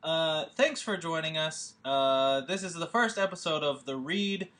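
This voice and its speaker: A man's voice: fairly high pitch, lively intonation, unhurried, fairly bright tone. A man, a producer and director, hosting a table read in a casual, rambling chat full of fillers and restarts. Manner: hesitant, many fillers and restarts.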